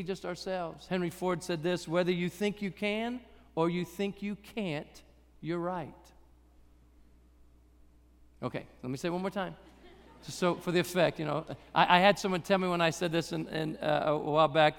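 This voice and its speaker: A man preaching a sermon in a church with a raspy voice, talking conversationally with self-deprecating humor. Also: high-pitched, fairly bright tone, big-room sound. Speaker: guest preacher.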